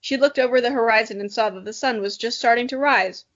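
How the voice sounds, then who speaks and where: High-voiced woman co-hosting a comedy podcast. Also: quick pace, fairly bright tone, muffled recording. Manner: self-mocking, giggly. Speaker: podcast co-host. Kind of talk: dramatic reading of childhood story with joking asides.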